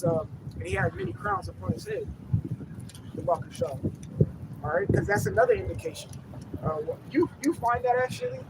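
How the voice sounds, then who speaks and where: Male street preacher, emphatic, gravelly edge, outdoors with traffic. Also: extremely high pitch. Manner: emphatic, calls on reader to go ahead. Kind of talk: street sermon.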